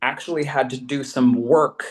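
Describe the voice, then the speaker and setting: A man's voice: mid-range voice, steady tone, moderate pace. A man chatting casually and emphatically as a podcast creator on a discussion panel, with an enthusiastic way of talking.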